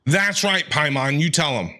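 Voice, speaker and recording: A male streamer reacting to a game in a high, bright voice. He is playful and theatrical, talking back to the characters with big swings in pitch, while music plays faintly behind him. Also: lively intonation, moderate pace. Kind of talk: gameplay reaction commentary.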